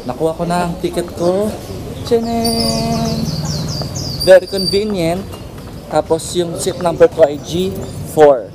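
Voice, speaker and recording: A man's voice: high voice, takes it slowly. A man with a Filipino accent chatting casually as a travel vlogger, mixing Tagalog and English. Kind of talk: casual vlog chat in Tagalog-English mix. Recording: very noisy outdoor street with traffic.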